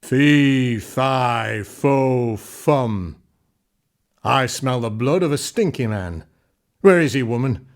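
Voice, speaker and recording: A man voicing a huge, foul-tempered giant in a fairy tale, booming and demanding. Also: animated, slow. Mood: menacing.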